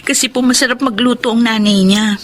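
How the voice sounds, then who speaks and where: A woman's voice: fairly high pitch, steady tone, fairly quick, a little hiss or hum, close to an everyday voice. A woman with a Filipino accent acting a candid young saleslady daughter in a radio drama, girlish in manner. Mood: coy, candid. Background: loud dramatic music.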